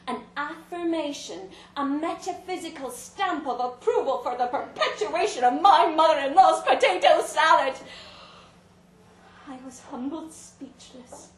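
A woman reading a literary story aloud to a live event, theatrical and deliberate, with dramatic pauses. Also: high-pitched. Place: indoor live reading in a pub venue. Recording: roomy live venue, faint background noise.

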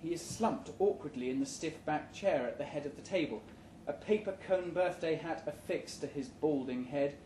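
A man reading a first-person short story aloud at a live event in a measured, dry manner. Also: mid-range voice, mellow. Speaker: story reader. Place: indoor live reading event before an audience. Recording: roomy live venue, background noise.

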